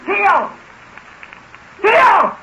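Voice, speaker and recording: An actor playing a sick, miserly old farmer with a rural American accent, querulous and demanding, in 1940s radio drama style. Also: male voice, very high-pitched, big pitch swings, very slow, bright, forceful tone, heavily acted. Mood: irritable, fretful. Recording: muffled, hissy 1940s radio recording.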